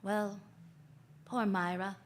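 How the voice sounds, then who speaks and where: A woman's voice: mid-range voice, level tone, slow pace, natural voice. A woman playing a wry, flirtatious private detective who narrates her case in a vintage radio drama. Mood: wry narration. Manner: wry, hard-boiled storytelling. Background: music.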